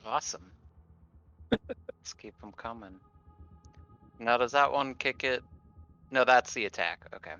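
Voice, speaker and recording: Bright-voiced man on a muffled mic. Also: medium pitch, measured pace. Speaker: tabletop game player. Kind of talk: casual game-table chat. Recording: muffled lo-fi microphone.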